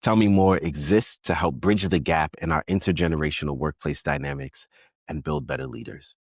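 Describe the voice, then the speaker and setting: A man from New York with a Brooklyn accent, hosting a podcast interview in a relaxed, reflective way. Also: rumbling low, fairly quick, creaky, no room sound, studio quality. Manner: relaxed, reflective, repeats guest's points back. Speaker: podcast host. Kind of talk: conversational interview.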